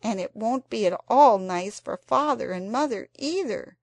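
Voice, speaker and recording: A woman's voice: on the high side, lively intonation, unhurried, a little mellow, no echo, voice pushed up. A woman voicing an earnest young girl in a storybook, pleading and a little dramatic. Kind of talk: storybook character voice.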